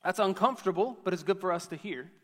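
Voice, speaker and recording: A man's voice: high-pitched, quick pace, fairly bright tone, in a large hall. A man in his thirties preaching a sermon to a church, conversational and storytelling with self-deprecating humor. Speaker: pastor.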